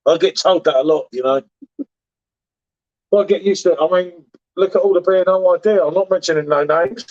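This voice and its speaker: A man's voice: sits high, brisk, a little mellow. A man hosting a casual livestream, bantering and joking while reading viewer messages. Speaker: beer reviewer livestream host. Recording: dull lo-fi livestream audio.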